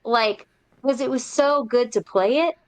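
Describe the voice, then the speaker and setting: A woman with a smooth, clear voice pitched a little high chats on a podcast panel. She speaks at a slightly slow pace, with emphatic, sweary phrasing. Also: poor audio. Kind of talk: casual chat.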